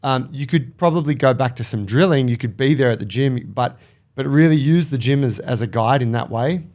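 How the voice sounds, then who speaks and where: A man's voice: mid-range voice, fairly quick, a little mellow. A man co-hosting a podcast, talking in a loose, chatty way and swearing casually. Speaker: podcast co-host. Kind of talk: casual advice chat.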